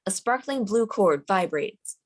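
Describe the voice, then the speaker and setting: A woman's voice: mid-range voice, lively intonation, unhurried. A woman reading scene directions as the narrator at a screenplay table read.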